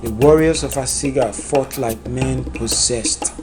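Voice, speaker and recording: A man narrating a dark epic folktale in a solemn, dramatic storytelling manner. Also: medium pitch, unhurried, over music.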